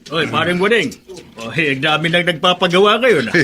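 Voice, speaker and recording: A man's voice: fairly high pitch, lively intonation, brisk, bright, forceful tone, soft background music. A man playing a jovial, chatty working-class mechanic with a folksy manner in a radio drama. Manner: folksy, interjecting, jocular.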